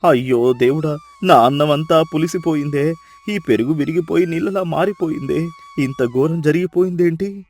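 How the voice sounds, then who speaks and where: A man's voice: fairly high pitch, moderate pace, darkish tone, lightly acted. A man voicing a miserly, cunning village farmer in a moral story, whining and grumbling with exaggerated excuses. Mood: dismayed. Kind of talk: dramatised moral story voice acting.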